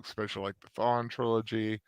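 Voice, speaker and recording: A man's voice: fairly low pitch, little pitch movement, fast, clean tone, dry recording. A man co-hosting a casual podcast chat, easygoing and a bit hesitant. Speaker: podcast co-host.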